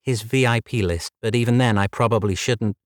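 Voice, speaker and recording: A man's voice: on the low side, fairly quick, no echo, clean studio sound, voice pushed up, natural voice. A man putting on a chirpy young receptionist woman. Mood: polite. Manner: chirpy, courteous, apologetic but unbending. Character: polite young female office receptionist, firm.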